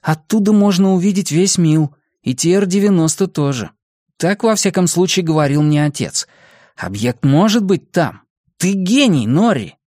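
A man's voice: high voice, expressive, moderate pace, a little mellow, dry recording, studio quality, voice pushed up. Man as a decisive, encouraging boy, lifted voice. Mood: decisive, eager. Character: young boy, encouraging, decisive leader of friends.

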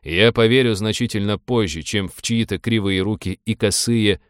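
A man's voice: lowish voice, normal speed, smooth, no room sound, clean studio sound, natural voice. A man voicing a mocking, sardonic pilot with mock-profound delivery in an audiobook. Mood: scornful.

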